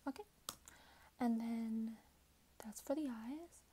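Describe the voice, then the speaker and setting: Casting: woman, soft-spoken and whispery ASMR roleplayer, slightly high pitch, faint noise with typing behind. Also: slow pace. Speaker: ASMR creator playing a robot companion clerk. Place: small plain room indoors. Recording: hissy background noise, keyboard typing. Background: keyboard typing and clicks.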